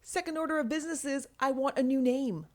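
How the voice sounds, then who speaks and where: A woman's voice: sits high, fast, voice pushed up, lightly acted. A woman narrator plays a cocky young man, a superhero magician in an audiobook. He is flippant and teasing, always needling his teammates.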